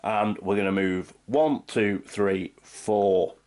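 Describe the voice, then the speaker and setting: A congested man, his nose blocked by a cold, hosts a tabletop playthrough. His low voice talks through each move in a chatty, patient way, spelling out the rules step by step. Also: slow, darkish tone. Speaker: board game channel host. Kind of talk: playthrough commentary of a board game.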